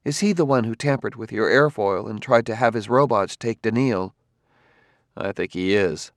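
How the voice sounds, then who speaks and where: A man's voice: lowish voice, normal speed, no room sound, clean studio sound, natural voice. A man voicing a weary, dogged male investigator in an audiobook novel, blunt and resigned yet earnest and tender. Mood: grim, frustrated.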